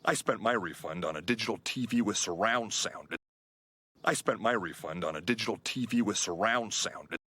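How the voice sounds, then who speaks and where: A man's voice: on the low side, moderate pace, pushed and bright, breathy edge, no room sound, clean studio sound. A man saying a sentence in a plain, straightforward way.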